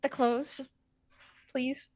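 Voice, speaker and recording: A woman voicing a flustered, well-meaning young female vampire in a tabletop game, explaining haltingly and apologetically. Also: sits high, flat delivery, a little mellow, no room sound, exaggerated voice. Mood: exasperated, pleading.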